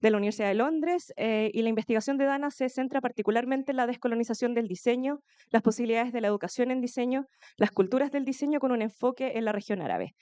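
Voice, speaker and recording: A woman hosting an event, reading a formal introduction of the guest quickly, with a few fillers. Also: on the high side, warm tone, clear, resonant tone, dry recording. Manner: fast, with fillers at the start.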